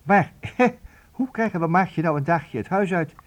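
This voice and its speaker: An actor playing an excitable, absent-minded male inventor in a children's radio play, husky and dithering, stammering and repeating himself. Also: high-pitched, unhurried. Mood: dithering, enthusiastic.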